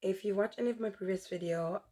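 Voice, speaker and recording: A woman's voice: medium pitch, fairly even intonation, quick pace, warm tone. Woman with a strained, half-lost voice. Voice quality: strained, rough. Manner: rambling, self-correcting. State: voice mostly gone, dry throat. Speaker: crochet tutorial host and shop owner. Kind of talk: chatty crochet walkthrough.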